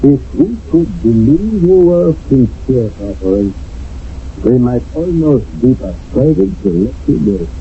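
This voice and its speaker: A man's voice: medium pitch, sing-song, a little slow, faint music behind. An actor playing a stern, formal chairman of a wartime village court in a radio drama. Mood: grave, accusing. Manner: formal, solemn, judicial. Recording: lo-fi old radio broadcast, faint noise.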